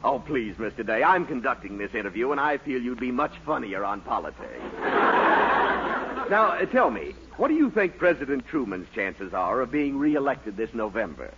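An actor playing a wry, politely exasperated newspaper editor in a 1940s radio comedy, with theatrical delivery. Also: male voice, medium pitch, sing-song, moderate pace. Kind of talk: 1940s radio sitcom acting. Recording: noisy, dull 1940s radio recording, studio audience.

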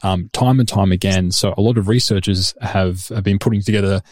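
A man with an Australian accent, a researcher explaining his work in a steady, conversational way. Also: low-pitched, brisk, no room sound, studio quality. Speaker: researcher and podcast host. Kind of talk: conversational interview answers.

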